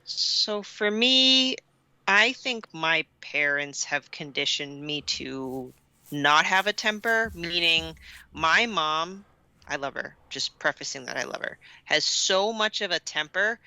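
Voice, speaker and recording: A woman's voice: sits low, lively intonation, takes it slowly, pushed and bright, clean tone, no room sound, rough recording. A woman co-hosting a podcast, chatting casually and candidly with emphatic phrasing, close to the microphone. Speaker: podcast co-host. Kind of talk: casual personal chat.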